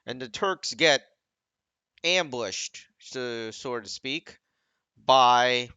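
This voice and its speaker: A man with a bright, forward voice talks casually over a video game. He rambles and keeps second-guessing how to word things as he goes. The recording is very dry and a little dull, like a simple home setup. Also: medium pitch, very slow, clean tone, no echo. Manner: rambling, second-guesses his own wording. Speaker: gaming video creator. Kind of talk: casual off-the-cuff game commentary.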